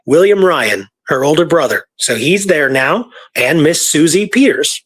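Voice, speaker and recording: A man casually retelling history research from his notes, halting and informal as he reads out dates. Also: fairly high pitch, expressive, fairly bright tone, breathy edge, no echo.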